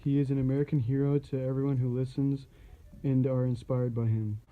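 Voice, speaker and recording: Young male announcer, scripted period radio show. Medium pitch, very dark timbre, even intonation. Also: fairly quick, rough recording.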